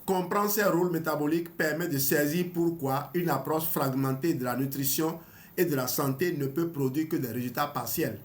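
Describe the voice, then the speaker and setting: A man's voice: fairly high pitch, normal speed. Man in his fifties reading aloud. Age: 50s. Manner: hesitant, with restarts and small laughs. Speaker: health channel host. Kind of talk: reading a book aloud with commentary.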